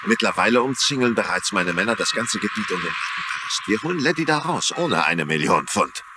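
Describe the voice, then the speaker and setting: A man's voice: on the low side, animated, brisk, noisy background, lightly acted. An actor playing an urgent, combative male operative in a TV crime drama, speaking in a brusque, insistent way. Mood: reassuring, confident. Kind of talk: German-dubbed TV crime drama acting.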